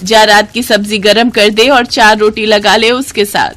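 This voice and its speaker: A woman's voice: medium pitch, fairly even intonation, unhurried, fairly bright tone, lightly acted. Woman voicing a scolding, miserly older mother-in-law. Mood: stern, scolding. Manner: scolding, complaining, commanding.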